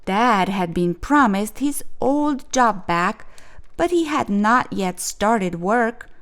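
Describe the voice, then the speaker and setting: A woman reading a children's picture book aloud as a storyteller, with expressive, clearly phrased narration. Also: mid-range voice, slow.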